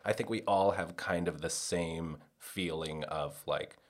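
A man's voice: sits low, unhurried. An American man hosting a casual conversational interview, talking in an informal, slangy way. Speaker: podcast host, interviewer.

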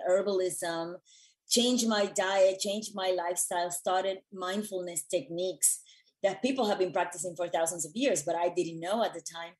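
A woman from Puerto Rico speaking as an interview guest and wellness educator, telling things in an earnest, expressive, conversational way. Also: lowish voice, low-quality recording.

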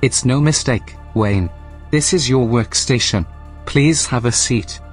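An actor playing a composed, snide artificial intelligence running a station in a sci-fi audio drama, speaking in a precise, formal, deadpan way. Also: male voice, medium pitch, a little slow, soft background music, lightly acted. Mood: matter-of-fact. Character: dry, snide male AI station supervisor, composed.

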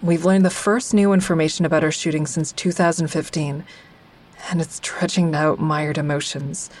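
A woman's voice: low voice, steady tone, normal speed, darkish tone. A woman acting as the wry, observant first-person narrator of an audio drama, a member of a support group. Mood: wry, uneasy. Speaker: narrator and character.

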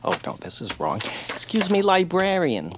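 A man's voice: high voice, huge pitch swings, normal speed, noisy background. A man voicing a fussy, anxious, bookish peepkin in a fretful, theatrical way for an audio comedy. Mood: fussy, indignant. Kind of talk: audio fiction comedy acting.

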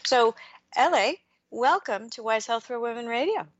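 A woman hosting a radio interview, speaking in a measured, reflective way and asking long framing questions. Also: fairly high pitch, lively intonation, no echo, highs cut off. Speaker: radio show host.

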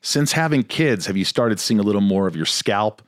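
A man with a fairly low voice reads a sponsor advertisement in a steady, promotional way. The sound is dry and close, with no room around it. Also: moderate pace, no echo. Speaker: podcast host reading an ad. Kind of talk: host-read advertisement.

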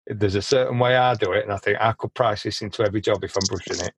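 A man's voice: fairly low pitch, fast talker. Chatty male podcast host. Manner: chatty, repeats words while starting sentences. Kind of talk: casual chat.

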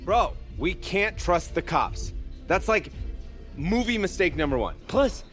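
An actor playing a cocky, dim young schemer in a comedic audio drama, breezy and slangy. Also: male voice, sits high, sing-song, a little slow, fairly bright tone, faint music behind, exaggerated voice. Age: young adult. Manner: breezy, self-assured, slangy.

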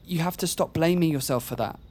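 A man's voice: on the high side, a little fast, darkish tone, a little hiss or hum, lightly acted. An actor playing a desperate, pleading young male gambler in a naturalistic audio drama. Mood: concerned.

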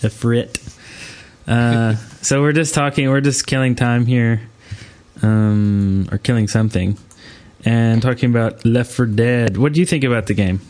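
A man's voice: medium pitch, fairly even intonation, a little slow, darkish tone. A man co-hosting a gaming podcast with casual, loose banter. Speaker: podcast co-host. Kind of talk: casual chat and banter about video games.

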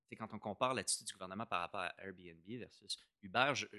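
A man's voice: on the low side, fast, sharp tone, dry recording, studio quality. A man with a Québécois French accent explaining policy in a casual discussion, repeating words as he thinks and mixing in English terms. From Quebec, Canada.

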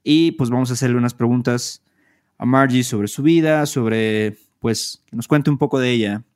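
A man hosting a podcast, relaxed and conversational, with small fillers. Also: mid-range voice, normal speed, clean tone. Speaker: podcast host. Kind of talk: casual podcast chat.

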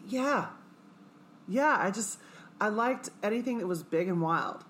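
A woman's voice: medium pitch, expressive, a little slow, a little hiss or hum. A woman in her forties from Kansas, a singer talking loosely and profanely in an interview, with many fillers. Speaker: guest performer and singer. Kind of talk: casual interview chat.

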